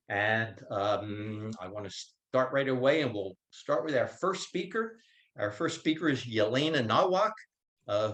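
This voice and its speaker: A man's voice: medium pitch, reverberant. A man moderating a public panel, laying out the program in an organized way and introducing the first speaker. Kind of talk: event introduction.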